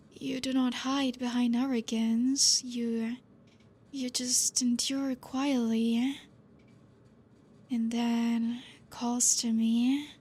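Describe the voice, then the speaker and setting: A woman voicing a protective, possessive female vampire teacher in an ASMR roleplay. She speaks softly, calmly and with quiet command, her breathy voice slipping into whispers. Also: a little high, full character voice. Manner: soft-spoken, calm, quietly commanding.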